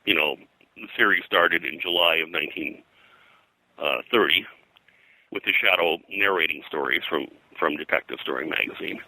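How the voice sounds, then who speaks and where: A man recounting history as an interview guest, in a halting, digressive, detail-heavy way. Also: low voice, pushed and bright, no room sound, rough recording. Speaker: pop culture historian, guest.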